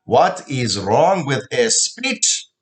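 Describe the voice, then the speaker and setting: A man's voice: mid-range voice, huge pitch swings, heavily acted. A man doing a furious immigrant father. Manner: shouting, scolding, halting broken English.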